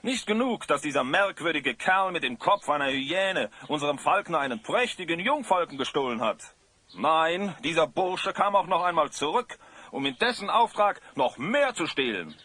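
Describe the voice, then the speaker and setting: Blustering high-voiced coachman. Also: very animated, normal speed, bright, forceful tone, dry recording, full character voice. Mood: indignant. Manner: blustering, complaining. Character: gruff, indignant male coachman and jailer. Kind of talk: German audio drama acting.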